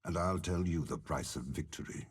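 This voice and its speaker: An actor playing a stern, scheming old general in a video game, with a gravelly, raspy voice and a commanding, deliberate, sardonic delivery. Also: male voice, low-pitched. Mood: menacing, commanding. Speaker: game character. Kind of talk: dramatic game voice lines.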